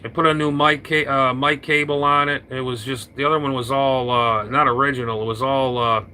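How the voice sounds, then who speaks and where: A man chatting casually over his radio, rambling and halting with lots of fillers and restarts, talking into an old hand mic. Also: mid-range voice, fairly even intonation, sharp tone, a little hiss or hum, poor audio. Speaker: radio operator. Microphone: vintage hand mic on a radio.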